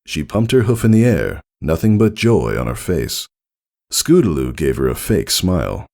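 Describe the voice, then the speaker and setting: A man's voice: low-pitched, big pitch swings, moderate pace, gravelly, a little mellow. A man narrating a scripted story in the style of an audiobook reading.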